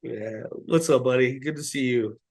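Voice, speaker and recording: A man hosting a podcast over a video call, his voice raspy and hoarse from the flu. He keeps things friendly and jokey, stumbling through his questions. His pitch sits mid-range and the sound is very dry. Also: a little mellow, no echo. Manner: friendly, joking, halting questions. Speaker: podcast host. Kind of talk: casual interview chat.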